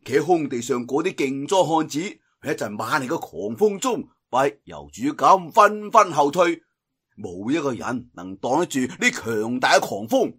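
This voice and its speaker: A man's voice: medium pitch, very animated, moderate pace, a bit bright, breathy edge. A man with a Cantonese accent narrating a martial arts tale in a theatrical, colloquial storytelling style. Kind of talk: dramatic scripted wuxia story narration.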